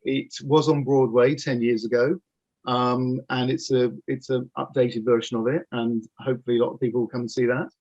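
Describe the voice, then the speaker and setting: Guy in his 50s chatting on a video call interview, kinda muffled audio, keen storyteller, normal pitch. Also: little pitch movement, fairly quick, a little mellow. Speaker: audio drama producer, interview guest. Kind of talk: casual interview conversation. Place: home office with bookcases. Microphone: computer mic on video call.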